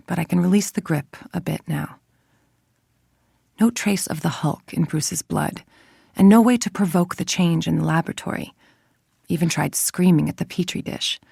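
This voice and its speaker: A scheming female scientist for a video game: low, heavily creaky voice, formal, controlled, dryly superior. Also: mellow, no room sound, clean studio sound, exaggerated voice. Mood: clinical, scheming. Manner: articulate, formal, dryly condescending. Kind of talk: scripted game monologue, dictated logs and letters.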